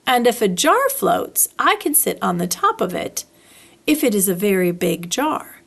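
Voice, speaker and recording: A woman voicing a simple, earnest little male bear from a children's storybook, reasoning slowly aloud to himself. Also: mid-range voice, sing-song, gravelly, fairly bright tone, exaggerated voice. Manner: slow-witted, deliberate, talks things through to himself.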